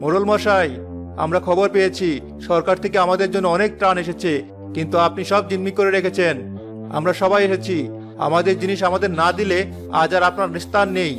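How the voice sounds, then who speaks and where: Man acting an earnest high-voiced village farmer. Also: fairly even intonation, brisk, lightly acted. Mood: defiant. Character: earnest, hopeful poor village farmer, male. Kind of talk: cartoon voice acting. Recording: music behind the voice. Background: background music.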